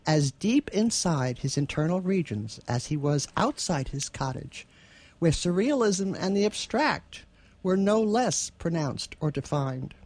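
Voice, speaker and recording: A woman's voice: deep voice, a little mellow. A woman co-hosting a radio show, chatting casually and reading literary prose. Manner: chuckles often while telling anecdotes.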